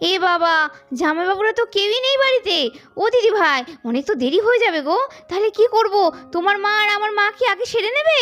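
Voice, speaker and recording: A woman's voice: very high-pitched, lively intonation, fast talker, fairly bright tone. A woman voicing an eager, caring young woman doll in a cartoon family story, with a girlish, chiding manner. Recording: music behind the voice.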